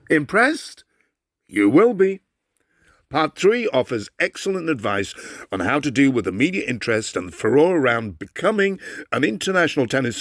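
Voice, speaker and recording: A man from Bangladesh reading a humorous memoir aloud in an expressive, theatrical storyteller's manner. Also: medium pitch, no echo, clean studio sound. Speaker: actor reading a book aloud.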